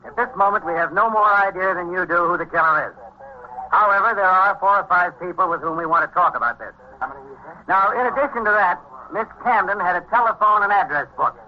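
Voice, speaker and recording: An actor playing a collegial American police chief of detectives in a vintage radio drama, brisk and plainspoken with authority. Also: male voice, high voice, close to an everyday voice. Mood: firm, official. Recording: dull, noisy vintage radio recording.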